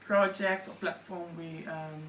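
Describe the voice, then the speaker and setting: A woman's voice: lowish voice, steady tone, fairly bright tone, echoey room, far from the mic, slight background noise, low-quality recording. A woman in her thirties with a Dutch accent, a guest on a radio interview, talking in a chatty way and saying "like" often. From Netherlands. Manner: chatty, quick, uses "like" often. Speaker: guest, anthropologist.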